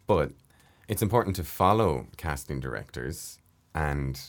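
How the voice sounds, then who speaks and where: A man's voice: very deep voice, measured pace. A young Irish man, an actor, chatting casually in an interview and telling stories from his work. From Ireland. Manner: chatty, anecdotal, relaxed. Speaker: actor guest.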